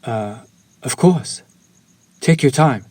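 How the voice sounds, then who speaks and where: A man's voice: medium pitch, lively intonation, slow, a little mellow, breathy edge, no echo. A man playing a courteous, lovesick, centuries-old vampire in an intimate audio roleplay, with formal, old-fashioned and pleading speech. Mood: apologetic, yearning. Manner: formal, archaic, ornate, apologetic phrasing. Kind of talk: scripted romantic audio roleplay, intimate direct address.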